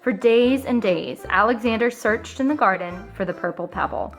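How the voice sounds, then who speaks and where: A woman in her thirties reading a children's story aloud, expressive, with dramatic pauses. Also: on the high side, soft background music. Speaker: storybook reader. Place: living room sofa for the introduction.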